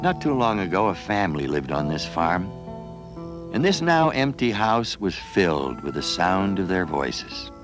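A man's voice: sits low, big pitch swings, measured pace, music in the background. A man reading scripted narration as the narrator of a documentary.

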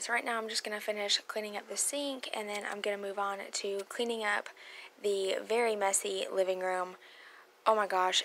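A young woman narrates her home cleaning in a loose, chatty voice-over. Her voice sits at an ordinary middle pitch. A faint hiss runs under the recording. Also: a bit bright. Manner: chatty, explains as she goes. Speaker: cleaning vlogger.

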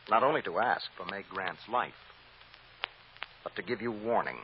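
An actor playing a stern, righteous defense lawyer, deliberate and insistent, in an old-time radio drama. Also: male voice, on the low side, natural voice. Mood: relentless, forceful. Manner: deliberate, insistent, repeats phrases for emphasis. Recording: dull, noisy old radio recording.

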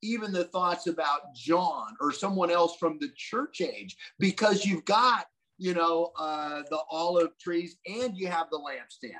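An older man talks from a room at home over a webcam. His voice sits fairly high, and he muses aloud, circling back and restarting as he thinks. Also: a little slow, sharp tone, rough recording. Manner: thoughtful, musing, many restarts. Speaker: co-host discussing Bible prophecy. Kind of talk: casual video call discussion. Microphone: video call webcam.

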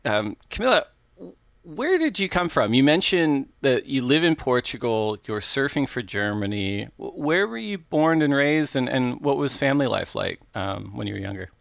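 A middle-aged man hosting a podcast on a headset mic, relaxed and conversational, with lively storytelling. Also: mid-range voice, moderate pace, creaky, dry recording, clean studio sound. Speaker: podcast host. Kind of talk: casual interview over video call. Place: home office indoors.

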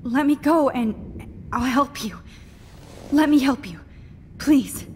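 A woman's voice: high voice, lively intonation, darkish tone. Frightened defiant captive girl, emotional, game drama, long reverb, faint background noise. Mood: desperate, pleading. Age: young adult. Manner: earnest, emotional, halting. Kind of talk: dramatic video game acting. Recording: reverberant room with ambient noise.

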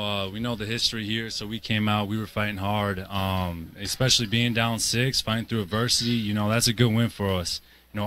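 A man in his twenties answering post-game interview questions as a basketball player, in a measured, earnest way. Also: fairly low pitch, a bit bright. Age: 20s. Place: indoor basketball arena, courtside. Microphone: handheld interview microphone held to him. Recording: clear arena background noise.

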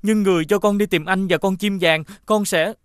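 An actor voicing a kind, naive young prince in an animated fairy tale, earnest and innocent. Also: medium pitch, brisk, smooth, no echo, clean studio sound, natural voice.

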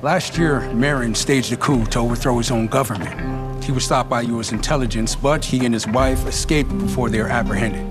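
An actor plays a serious intelligence agent giving a crisp briefing. Loud film music plays behind him. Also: male voice, mid-range voice, a little fast, lightly acted.

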